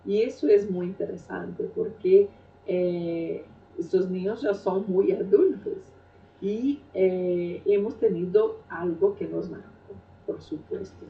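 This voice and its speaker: A middle-aged Brazilian woman, a Portuguese native speaker with a Brazilian Portuguese accent, telling her life story in an unhurried, reflective way with frequent hesitations. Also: on the low side, vocal fry. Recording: roomy, distant, dull sound.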